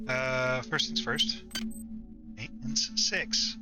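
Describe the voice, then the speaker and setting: A man giving casual, halting gameplay commentary, thinking aloud as he plays. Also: medium pitch, lively intonation. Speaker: game streamer. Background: loud music.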